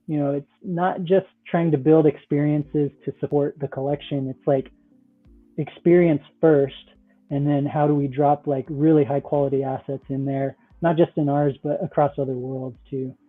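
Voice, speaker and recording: A man with a fairly high voice comes through on a thin, phone-like connection. He answers interview questions casually, explaining things in loose, conversational phrases. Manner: loose, explanatory, peppered with "like" and "you know". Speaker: startup founder, interview guest. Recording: thin, phone-like call audio.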